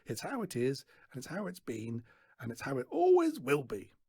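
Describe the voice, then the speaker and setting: A man's voice: medium pitch, wildly swooping pitch, normal speed, vocal fry, a little mellow, husky, dry recording, studio quality, full character voice. Man playing an exasperated, swooping fairground stallholder. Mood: astonished. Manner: emphatic, folksy, patronising. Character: brisk, exasperated older fairground stallholder mentoring a lad.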